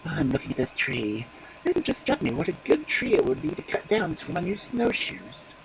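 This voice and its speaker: A woman voicing a cunning male fox in a storybook reading, with a calm, coaxing and falsely polite manner. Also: lowish voice, normal speed, vocal fry, background noise, pitched above the performer's own voice, exaggerated voice. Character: sly cunning male fox, smooth schemer.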